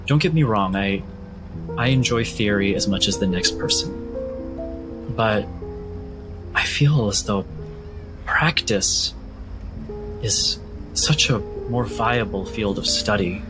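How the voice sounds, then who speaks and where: A man playing an earnest, fascinated male scholar who speaks in a thoughtful, halting, articulate way. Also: fairly low pitch, over music.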